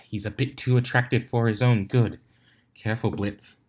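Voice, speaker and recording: A man's voice: medium pitch, fairly even intonation, moderate pace, darkish tone, natural voice. A man voicing a fussy, disapproving female unicorn in a story reading, muttering gossipy asides.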